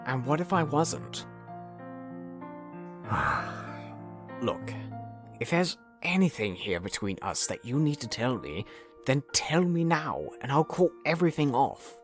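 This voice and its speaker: A man voices a chaos spirit in a cartoon audio drama. He is smooth-talking and guarded, and his delivery swings widely in pitch. Faint music sits behind him. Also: big pitch swings, takes it slowly, long pauses. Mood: guarded, pressing. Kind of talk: animated-series fan audio drama.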